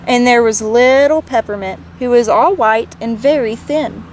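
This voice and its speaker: A woman reading a storybook aloud to children in an expressive, gentle way, as a read-aloud host. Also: on the high side, moderate pace, slight background noise.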